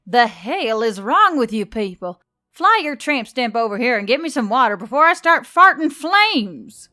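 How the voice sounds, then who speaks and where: A woman's voice: fairly high pitch, sing-song, normal speed, pushed and bright, no room sound, studio quality. Woman acting irritable, foul-mouthed young woman. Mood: outraged. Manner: growling, indignant outbursts.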